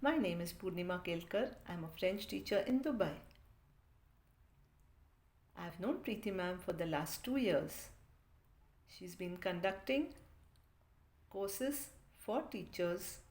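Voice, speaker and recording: A woman's voice: fairly low pitch, lively intonation, slow, long pauses. Appreciative woman French teacher. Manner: warm, appreciative, with frequent fillers. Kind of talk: recorded testimonial.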